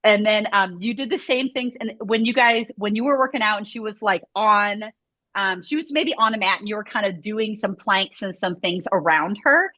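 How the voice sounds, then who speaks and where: A woman's voice: medium pitch, normal speed, sharp tone, dry recording. A woman who runs a scoliosis movement studio, chatting casually and rambling with lots of "like" fillers. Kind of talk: casual video chat conversation.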